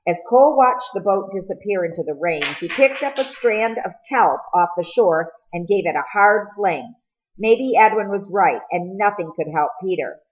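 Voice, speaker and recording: A woman with a lowish voice narrates a story from a script. She reads expressively, as an audiobook reader would. The recording is somewhat dull and lo-fi.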